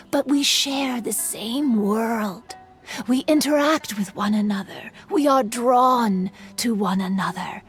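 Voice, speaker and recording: Game storyteller: sorrowful female spirit, slightly high voice, solemn and reflective, music underneath. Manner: solemn, reflective, breathy phrase endings. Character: fading female yokai spirit, world-weary, sorrowful storyteller.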